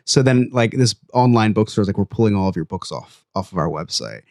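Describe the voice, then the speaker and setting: Casual podcast co-host, male, mid pitch, very animated delivery, dry close sound. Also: a little fast, dry recording. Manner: animated, joking, trails off and restarts. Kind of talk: casual chat.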